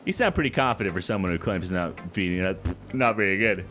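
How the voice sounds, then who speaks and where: Male gaming host reading a visual novel: lowish voice, animated, casual, light music in back. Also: quick pace. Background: soft background music.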